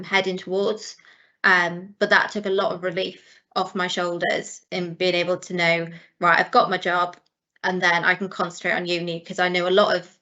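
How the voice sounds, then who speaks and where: A woman with a Welsh accent, Welsh her first language, talks students through her career in a bright, clear voice that stays on a fairly even pitch. She sounds friendly and encouraging, often pausing on an "um". The audio is a slightly dull video-call recording. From South Wales. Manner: friendly, encouraging, with frequent ums. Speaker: guest speaker, chartered accountant. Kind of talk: presentation at an online careers event.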